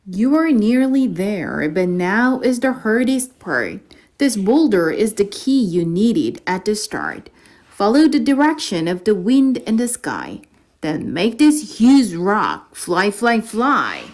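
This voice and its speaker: A young female reader voicing a measured, deliberate grown-up character in a storybook. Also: mid-range voice, big pitch swings, darkish tone, pitched below the performer's own voice. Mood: solemn.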